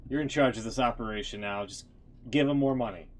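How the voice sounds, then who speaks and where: A man livestreaming a game, giving casual, off-the-cuff and playful commentary in a halting way. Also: medium pitch, soft background music. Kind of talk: casual live commentary and reactions.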